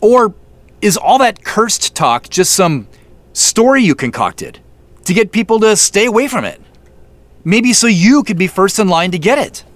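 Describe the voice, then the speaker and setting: A defensive, high-voiced young man. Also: sing-song, unhurried, dry recording. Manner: defensive, accusing. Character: defensive young man sneaking around a farm. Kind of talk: audio drama acting.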